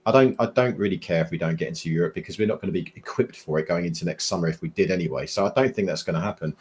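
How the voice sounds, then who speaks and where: Co-host, man in his 30s, quick lowish delivery, matey and fired up. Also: husky. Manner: fast, animated, says "mate" and "you know". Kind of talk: casual football chat. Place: home room with framed shirts.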